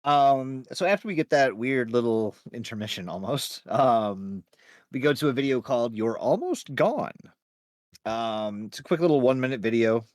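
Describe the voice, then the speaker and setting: A man's voice: mid-range voice, no room sound, studio quality. A man co-hosting a podcast with casual, joking, sweary chat. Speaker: podcast co-host. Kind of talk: casual banter and recap.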